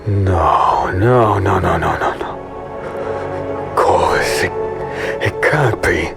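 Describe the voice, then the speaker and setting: An actor playing a horrified warrior in a dark fantasy audio drama, muttering in a gravelly, breathy voice. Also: male voice, low voice, very slow, loud music behind the voice, heavily acted. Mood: shocked.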